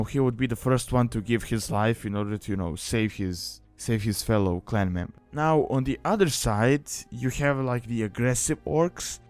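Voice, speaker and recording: A man's voice: medium pitch, darkish tone, clear, resonant tone. A man giving a casual, conversational explanatory voice-over as the narrator of a guide, often saying "you know". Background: background music.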